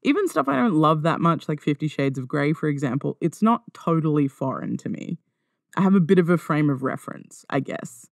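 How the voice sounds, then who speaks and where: A woman's voice: low voice, expressive, a little fast, creaky, darkish tone, no room sound, clean studio sound. A woman hosting a podcast solo in a casual, conversational way, wry and self-deprecating. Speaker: podcast host. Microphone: close podcast microphone.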